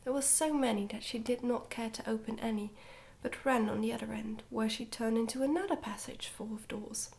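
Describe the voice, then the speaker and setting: A woman reading a children's storybook in a hushed, breathy whisper, gently and carefully, in ASMR style. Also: on the high side, brisk, hardly any pauses. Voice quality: whispery, breathy, soft-spoken. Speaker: ASMR book reader.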